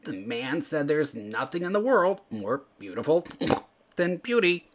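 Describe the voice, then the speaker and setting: A man's voice: fairly high pitch, very animated, unhurried, noisy background, voice pushed up. A man voicing a spoiled, bossy teenage boy beast from a storybook, cocky and blunt. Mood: proud, excited. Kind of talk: storybook character voice.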